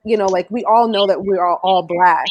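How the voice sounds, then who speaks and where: Woman, mid-pitched, emphatic, casual panel talk, dry sound. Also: normal speed, dry recording. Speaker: panelist on a live talk show.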